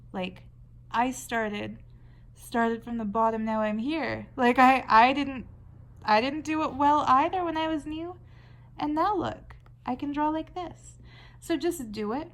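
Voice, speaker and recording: A Canadian woman talking casually while she draws, rambling and chatty with frequent restarts. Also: a little high. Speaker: artist vlogger. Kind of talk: casual chat while drawing.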